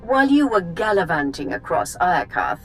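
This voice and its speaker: A woman's voice: lowish voice, very animated, normal speed, faint music behind, rough recording. A woman playing an imperious, defiant villainous empress in a video game, haughty and theatrical. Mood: haughty defiance. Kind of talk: cinematic game dialogue.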